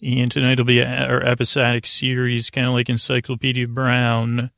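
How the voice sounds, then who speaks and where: Male sleep-podcast host: flat intonation, gravelly fry, halting, meandering, low-key delivery. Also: no echo, studio quality. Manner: meandering, halting, frequently digressing and losing his thread; low-key, soothing, deliberately unengaging. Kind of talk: rambling sleep-podcast monologue.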